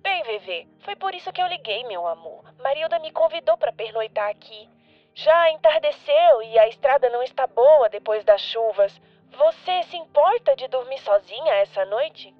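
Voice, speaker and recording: A woman playing a kindly, affectionate, unhurried grandmother in an audio drama, heard through a telephone filter. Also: sits high, expressive, no echo. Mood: affectionate, reassuring. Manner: affectionate, reassuring. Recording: muffled telephone-line sound, music behind.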